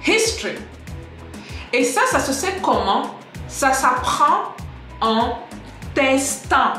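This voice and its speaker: Lively woman teaching in an echoey room. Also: sits high, takes it slowly. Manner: lively, direct, addresses viewers as "les gars"; switches into English example sentences. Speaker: English teacher on a video channel. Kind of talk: casual spoken lesson to camera. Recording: echoey room with faint music.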